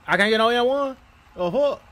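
A man's voice: extremely high pitch, lively intonation, fairly quick, fairly bright tone, a little hiss or hum. A man doing comedic voiceover of basketball players and referees, exclaiming in profane, slangy trash talk.

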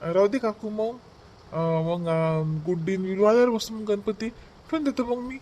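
A man's voice: high voice, normal speed, darkish tone, a little hiss or hum, natural voice. A man voicing a grumbling village family man in a comedy cartoon, speaking colloquial Vidarbha Marathi in a complaining manner. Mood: reluctant.

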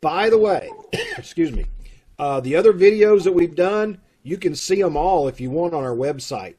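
A middle-aged man with a husky voice, making folksy, casual announcements to an online audience over a video call. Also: high voice, sing-song, normal speed, mellow, a little hiss or hum. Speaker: troupe host making announcements. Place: room at home, on video call. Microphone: video call microphone.

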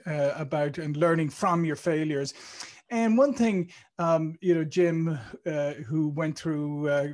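A man's voice: a little high, darkish tone. Irish man hosting a video-call interview. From Ireland. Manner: chatty, with many fillers and restarts. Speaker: interview host. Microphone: studio mic on a video call.

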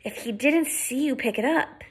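A woman reading aloud and voicing a calm schoolboy who explains things in a reasonable tone. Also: high-pitched, normal speed, breathy edge, pitched above the performer's own voice. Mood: reasoning.

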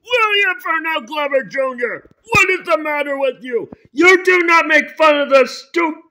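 A man's voice: very high voice, sharp tone, exaggerated voice, poor audio. A man playing a stern, indignant school principal who scolds emphatically and repeats himself in an amateur cartoon story. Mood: outraged. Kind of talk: amateur role-played children's cartoon story.

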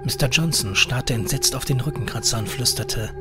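A man reading a short horror story as narrator, measured and dramatic. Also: medium pitch. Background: eerie horror music.

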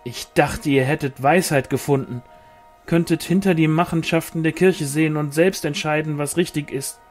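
A man's voice: a little high, fairly even intonation, normal speed, soft background music, voice pushed up, close to an everyday voice. A man voicing a defiant, idealistic rebel angel, emphatic and reproachful, in an audiobook with a German accent. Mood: indignant.

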